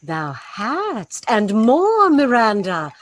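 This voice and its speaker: A sorceress mother in a Shakespearean radio drama, commanding yet tender. Her voice is high, and she speaks slowly, with stately deliberation. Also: huge pitch swings, slight background noise, heavily acted. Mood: solemn, commanding. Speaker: actor in a Shakespeare audio play.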